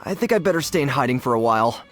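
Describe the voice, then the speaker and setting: An actor playing a cowardly, scatterbrained young gang member in a video game, talking in a rambling, slangy way. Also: male voice, medium pitch, lively intonation, rapid-fire, fairly bright tone, soft background music, close to an everyday voice. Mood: anxious. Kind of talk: animated video game voice acting.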